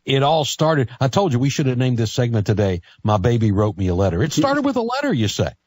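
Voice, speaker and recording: A man's voice: medium pitch, sing-song, brisk, a little mellow, husky, no echo. An American man hosting a morning talk radio show in a casual, chatty style. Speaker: radio host.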